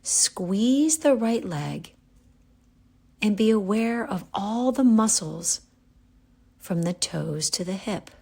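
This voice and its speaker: Slow, creaky-voiced woman guiding relaxation. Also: mid-range voice. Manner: calm, gentle, unhurried instruction with pauses. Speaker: yoga nidra guide. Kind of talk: guided relaxation meditation.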